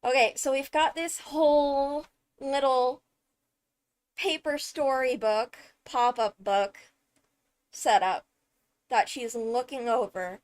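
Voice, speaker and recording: A woman giving casual, excited video commentary on a game trailer, chatty and thinking aloud with frequent interjections. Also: high voice, slow, long pauses. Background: loud music from the video under commentary.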